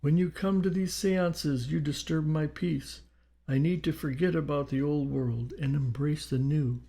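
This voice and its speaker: A man's voice: fairly high pitch, steady tone, a little slow, creaky, dark tone, voice pushed up. A man voicing an irritated dead husband's spirit in an audiobook, stern and reproachful, with a slight British accent.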